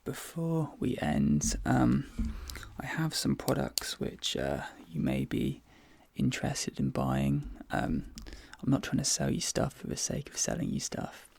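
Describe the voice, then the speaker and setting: A man performs a soft-spoken ASMR dentist role play in a very gravelly, breathy voice. He talks slowly and haltingly, drifting into whispers, and sounds close and dry. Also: medium pitch, expressive, no echo.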